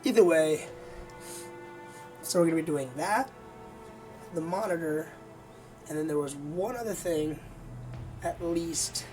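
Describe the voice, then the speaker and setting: A gaming streamer with a hoarse, nearly lost voice, chatting casually and rambling to viewers before playing. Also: medium pitch, takes it slowly, pauses often, over music. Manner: rambling, easygoing, many fillers.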